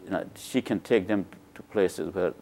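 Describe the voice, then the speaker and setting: An older man from Nepal, a native Nepali speaker, recounting his life in a relaxed, hesitant way with many fillers, on a lapel mic in a lecture room. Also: low voice, normal speed, gravelly, a little hiss or hum. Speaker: interviewed scientist. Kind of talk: relaxed conversational storytelling. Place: lecture room with a small audience.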